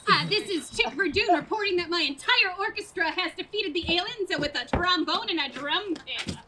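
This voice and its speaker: A woman's voice: extremely high pitch, lively intonation, moderate pace, background noise. A woman playing a dutiful, formal radio reporter making announcements in a stage parody. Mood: triumphant. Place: outdoor community theater stage in parking lot.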